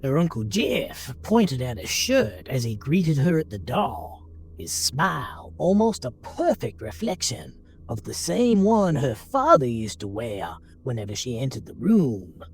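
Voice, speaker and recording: A man narrating a scripted horror story in a measured, dramatic storytelling manner. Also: medium pitch, very animated, slight background noise. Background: music.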